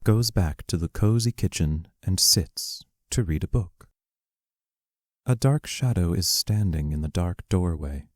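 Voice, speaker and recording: Male stage-directions narrator for audio drama: low, unhurried, dry recording. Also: expressive, dry recording. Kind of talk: scripted narration.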